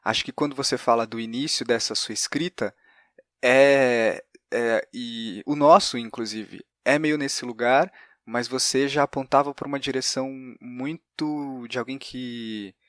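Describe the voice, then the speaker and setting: A man's voice: medium pitch, steady tone, a little slow, a bit bright, dry recording, studio quality. A man with a Brazilian accent hosting a conversational interview, hesitantly searching for his words. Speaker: podcast host.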